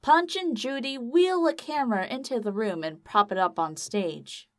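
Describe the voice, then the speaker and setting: A woman's voice: fairly high pitch, moderate pace. A woman narrating a scripted audio drama as storyteller.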